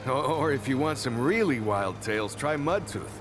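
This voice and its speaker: An actor playing a friendly, folksy settlement local in a video game, talking casually and hospitably. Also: male voice, mid-range voice, expressive, moderate pace, music in the background, lightly acted. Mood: welcoming. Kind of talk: video game character dialogue.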